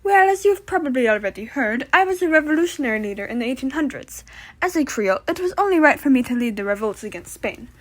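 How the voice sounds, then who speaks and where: A woman's voice: high-pitched, very animated, fast. A woman playing a proud, self-satisfied male revolutionary leader being interviewed in a comedic puppet show. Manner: boastful, matter-of-fact.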